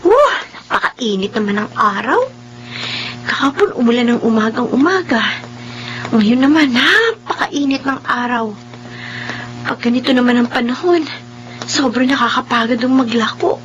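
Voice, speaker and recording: An actress playing a hardworking, earnest young daughter who peddles rice cakes and frets, in a radio drama. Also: female voice, a little high, lively intonation, moderate pace, pauses often, fairly bright tone, dull audio, faint music behind. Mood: weary.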